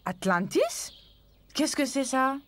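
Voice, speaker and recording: A woman's voice: high voice, very animated, slow pace, a bit bright, a little hiss or hum, exaggerated voice. A woman voicing an eager, impatient, curious young boy animal in a French cartoon dub, pestering and exclaiming. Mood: excited, curious.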